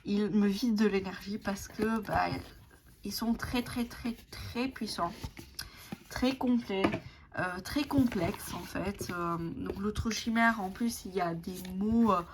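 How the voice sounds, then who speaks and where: Woman, Italian native, card-deck vlogger, halting and filler-heavy, mid-pitched voice, audible background noise. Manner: hesitant, many fillers and restarts. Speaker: tarot and oracle deck reviewer. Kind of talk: casual show-and-tell vlog.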